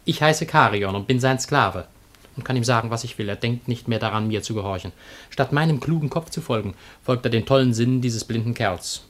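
A man's voice: fairly low pitch, natural voice. Cheeky grumbling slave played by a man. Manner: insolent, sardonic, blunt. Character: cheeky, grumbling slave servant of ancient Athens. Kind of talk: theatrical German radio comedy acting.

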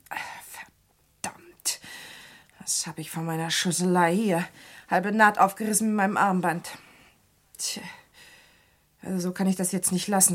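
A woman's voice: fairly low pitch, natural voice. A woman playing a busy, conscientious seamstress in a German radio crime drama, chatty, fussy and matter-of-fact. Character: busy, conscientious seamstress packing dresses, female.

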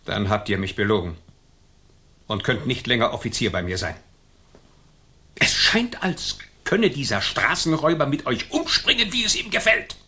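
An imperious colonial governor with a raspy, forceful voice. His pitch moves widely as he speaks with formal scorn and a vindictive temper, in radio drama style. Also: animated, normal speed. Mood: angry, threatening. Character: haughty, vindictive colonial governor, quick to rage. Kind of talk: German radio play acting.

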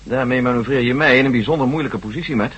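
An actor playing a loyal, hearty military general in a radio drama, reasoning like a cautious friend. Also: male voice, mid-range voice, fast, fairly bright tone, husky, muffled recording. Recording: faintly hissy old radio drama recording.

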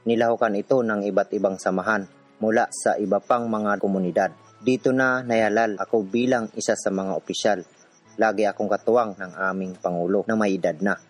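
Casting: actor as a resolute grassroots activist, lower-pitched man, earnest radio drama narration over soft music. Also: lightly acted. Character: earnest, determined urban poor activist telling his story. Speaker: narrator and protagonist.

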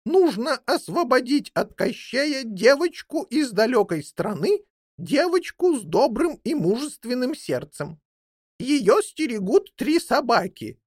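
High-pitched, dramatic old jackdaw played by a woman. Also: sing-song, darkish tone, husky, dry recording, clean studio sound, heavily acted. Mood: earnest, excited. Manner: theatrical, emphatic, drawn-out delivery. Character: old female jackdaw, motherly, dramatic, fond but bossy. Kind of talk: children's fairy-tale storytelling.

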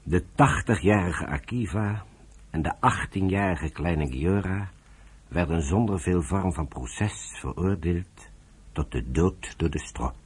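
A man with a rough, husky voice narrating a radio drama from a script. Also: sits low, animated, slow.

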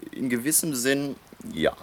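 A man's voice: mid-range voice, big pitch swings, slow pace, slight background noise. A man acting a sly, talkative, ironic island local in a 1960s German radio drama. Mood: amused.

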